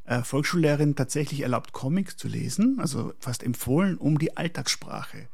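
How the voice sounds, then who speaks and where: A man from Prague, speaking as an architect in a reflective interview, deliberate and searching for words. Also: a little high, animated, creaky, darkish tone, breathy edge. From Prague, Czechoslovakia, later schooled in Austria.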